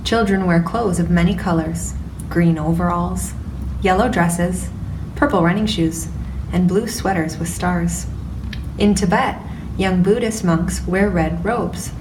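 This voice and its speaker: A woman reading a children's picture book aloud in a slow, clear, gentle way, recorded from a distance with background noise. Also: on the low side, roomy sound. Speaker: storyteller.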